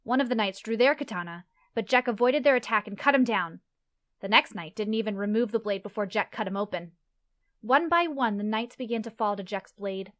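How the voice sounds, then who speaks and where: A woman's voice: mid-range voice, fairly quick, a bit bright. Casting: female narrator, medium register, scripted fantasy storytelling.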